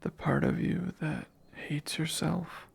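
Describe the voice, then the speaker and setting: A man voicing a possessive male sleep paralysis demon in an ASMR roleplay, whispering slowly and teasingly in a gravelly, breathy voice. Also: fairly high pitch, fairly even intonation, exaggerated voice. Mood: taunting. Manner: hushed close whispering, slow and teasing.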